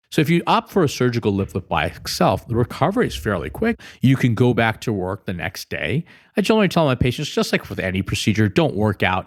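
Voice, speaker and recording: An American man speaking as a doctor and podcast host, explaining in a conversational, emphatic way and addressing the listener directly. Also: lowish voice. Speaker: plastic surgeon hosting a podcast. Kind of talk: conversational patient-education explainer.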